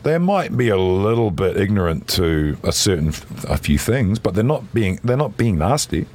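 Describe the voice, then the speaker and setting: Deep, husky New man. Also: moderate pace. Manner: animated, swoops between high and very deep. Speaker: podcast guest. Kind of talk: casual podcast chat.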